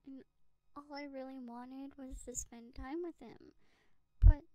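Slow-talking devoted young girlfriend, acted by a woman. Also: sits high, fairly even intonation, mellow, no room sound, poor audio. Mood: dismayed, self-pitying. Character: devoted young girlfriend, eager then self-pitying in rain. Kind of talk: slow ASMR roleplay monologue. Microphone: close USB condenser microphone.

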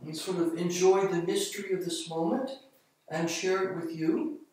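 A vlogger giving a slow, calm reflection on a Zen koan, filmed by a camera set far off in a small indoor room. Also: medium pitch, very echoey, slight background noise. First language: not Japanese. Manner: slow, calm, meditative delivery. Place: small room with bare walls and tiled floor, indoors. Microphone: far camera on the floor.

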